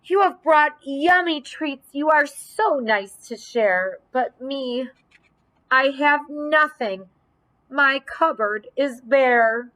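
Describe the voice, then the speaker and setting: A woman's voice: high voice, very animated, slow, a bit bright, pitched above the performer's own voice, full character voice, rough recording. A woman voicing a polite, humble male bear in a children's storybook read-aloud, with a sighing, plaintive manner. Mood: glum.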